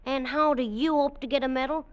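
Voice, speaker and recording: An actor playing a young soldier, grumbling, whiny and timid about the fighting. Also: male voice, very high-pitched, steady tone, brisk, muffled recording. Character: young cockney army fifer, grumbling and cowardly. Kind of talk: 1940s radio acting. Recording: old radio broadcast with faint hiss.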